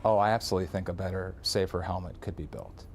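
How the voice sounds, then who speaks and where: A man's voice: low-pitched, fairly even intonation, fast, slight background noise. A man giving interview answers as a medical researcher.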